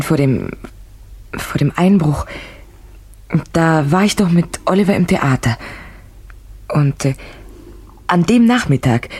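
An actress playing an uneasy woman confiding her suspicions in a German radio play, speaking in hesitant, halting phrases. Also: low-pitched, darkish tone, slightly rough, exaggerated voice.

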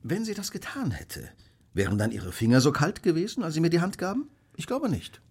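Radio play role: smug male detective genius, Victorian, analytical, rough-edged voice, highly varied intonation. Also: huge pitch swings, fairly quick, exaggerated voice. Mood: smug, explanatory. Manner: precise, self-assured, lecturing. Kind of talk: German radio play acting.

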